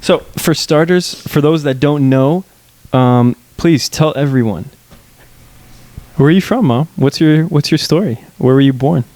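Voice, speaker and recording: A man's voice: fairly high pitch, unhurried, darkish tone. A young man in his twenties hosting a casual podcast chat on a headset mic, playful and laughing often, sometimes rambling. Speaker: podcast host.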